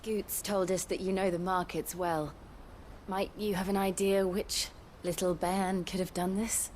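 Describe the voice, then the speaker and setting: An actress playing a gentle, practical young adventuress in a video game, polite and level-headed. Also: female voice, on the low side, level tone, measured pace, slight background noise, close to an everyday voice. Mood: composed.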